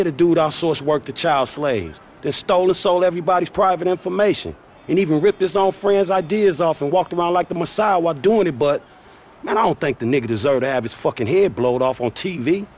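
An actor playing a skeptical young American street hustler in a game cutscene, slangy and blunt. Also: male voice, on the high side, fairly quick, a little mellow, close to an everyday voice. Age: young adult. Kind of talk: video game cutscene acting.

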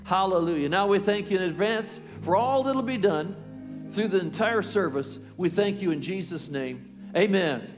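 A man's voice: high-pitched, expressive, moderate pace. An older man with a Southern US accent, a pastor leading a fervent prayer and sermon from a large church stage at a podium mic. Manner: fervent, repeats praise phrases. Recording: long hall reverb with music.

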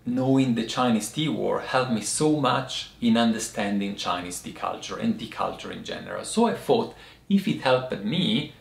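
A man in his thirties with a strong foreign accent, giving a casual video lesson on tea and talking in halting bursts with many restarts. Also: lowish voice, lively intonation, vocal fry, roomy sound. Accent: foreign accent in English. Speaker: tea teacher. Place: small room indoors by a lamp.

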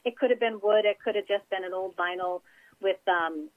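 A woman's voice: mid-range voice, normal speed. A woman interior designer giving chatty, practical advice as a guest in an interview. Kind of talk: casual interview over phone. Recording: tinny phone line.

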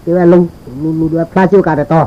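A man from northern Thailand, a native Karen speaker, telling a folktale aloud in an informal oral storytelling style. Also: fast talker. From Li district, Lamphun, northern Thailand. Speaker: folk storyteller. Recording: dull lo-fi recording with insect-like background noise.

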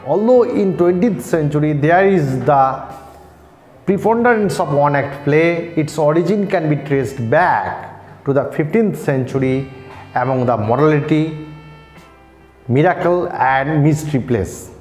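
A male lecturer with an Indian Bengali accent speaks in a fairly high voice at a very slow pace. His delivery is formal and deliberate, with halting phrasing, as in a scripted lesson. The room adds a clear echo around his words. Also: darkish tone, faint music behind. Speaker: English literature lecturer. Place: small bare-walled indoor room. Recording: echoey room.

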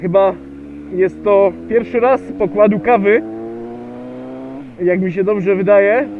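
High-voiced man vlogging from a motorcycle. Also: takes it slowly. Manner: chatty, hesitant with many fillers. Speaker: motovlogger. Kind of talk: casual riding vlog narration. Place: on a motorcycle riding on a road outdoors. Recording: tinny lo-fi helmet mic with wind and engine noise.

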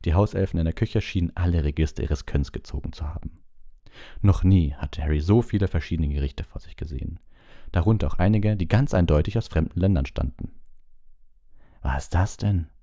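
A man's voice: low voice, a little fast, warm tone. A man reading a storybook aloud as an audiobook narrator, in scripted narration.

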